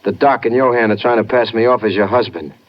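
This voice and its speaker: A man's voice: medium pitch, fast, close to an everyday voice. An actor playing a wisecracking, streetwise tough guy with a wry, slangy manner in a 1950s radio drama. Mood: wry, shaken. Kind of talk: 1950s hard-boiled radio drama acting. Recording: noisy muffled 1950s radio recording.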